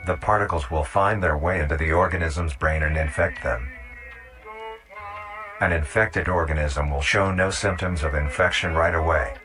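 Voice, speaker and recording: A man with a very deep voice reads scripted documentary-style narration. He is measured and instructional, and music plays clearly behind him. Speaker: narrator of a horror nature-guide video.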